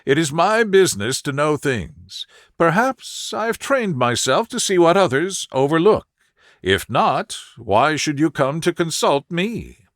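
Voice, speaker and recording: A man voicing a calm, shrewd, self-assured detective in an audiobook story, speaking in a precise, explanatory way. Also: fairly high pitch, measured pace, no echo, clean studio sound, pitched above the performer's own voice, heavily acted.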